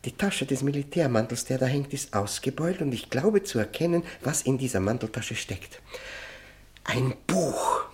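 An actor playing a desperate, obsessive male prisoner who tells of solitary confinement in a German radio play, intense and halting, often repeating words. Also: medium pitch, animated, darkish tone, natural voice. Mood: feverish longing. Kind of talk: German radio play, dramatic first-person narration.

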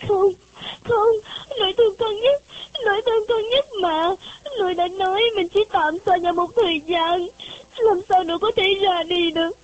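A woman's voice: very high voice, moderate pace, darkish tone, no echo, lo-fi sound, pitched above the performer's own voice. A woman voicing a devoted, tearful eight-year-old girl who pleads with her grandmother in a childlike way, in a storybook reading. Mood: sobbing. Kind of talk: audiobook character voice.

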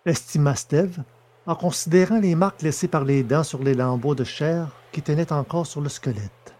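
A man narrating a science fiction audio drama in a steady, measured storytelling way. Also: fairly high pitch.

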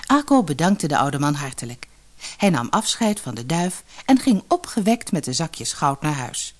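Woman narrating a kids fairy tale, slightly low husky voice, really animated melody. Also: normal speed. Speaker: storyteller.

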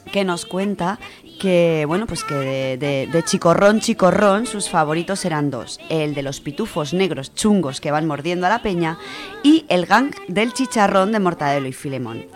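Radio presenter, female, Spain accent. Low register, colloquial and chatty read, music bed. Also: expressive, moderate pace. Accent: Spanish from Spain. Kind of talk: casual radio chat, reading out listener contributions.

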